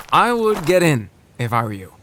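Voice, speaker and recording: A man's voice: fairly high pitch, background noise. A man playing an inquisitive, composed father with a knowing, probing manner in a children's audio drama.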